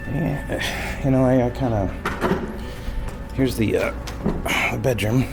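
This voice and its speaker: An actor playing an uneasy, compliant new homeowner in a fiction podcast, a man talking casually in a halting, hedging way. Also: medium pitch, over music, natural voice. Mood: defensive, hesitant. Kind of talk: naturalistic fiction podcast dialogue.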